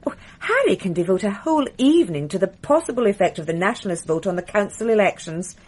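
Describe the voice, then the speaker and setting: An actress playing a refined, wistful titled lady in a radio drama, speaking softly and confidingly. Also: mid-range voice, sing-song, fast talker.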